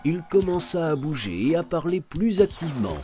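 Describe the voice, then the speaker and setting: Animated male storyteller over prominent music. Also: fairly high pitch, moderate pace. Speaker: narrator. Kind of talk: scripted story narration. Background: music under the voice.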